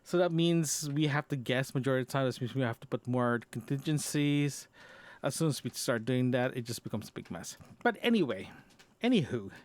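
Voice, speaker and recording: A man's voice: mid-range voice, animated, fairly quick. A man chatting casually in a personal audio diary, rambling and often repeating and rephrasing himself. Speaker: podcast host.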